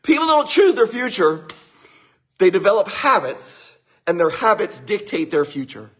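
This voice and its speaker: Upbeat husky man giving a motivational talk. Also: high-pitched, sing-song, normal speed, fairly bright tone. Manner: upbeat, greets viewers by name, laughs often. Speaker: motivational speaker. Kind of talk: live-streamed motivational talk.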